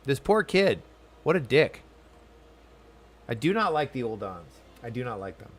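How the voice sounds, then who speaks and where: A man streams a video game and talks his way through it. His delivery is casual and conversational, at an ordinary male pitch. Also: very animated, measured pace, breathy edge.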